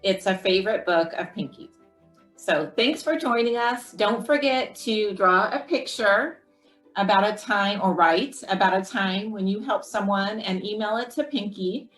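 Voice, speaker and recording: A woman hosting a children's story time, speaking clearly and encouragingly to young listeners. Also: mid-range voice, unhurried, a bit bright, echoey room, soft background music. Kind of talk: friendly children's program wrap-up.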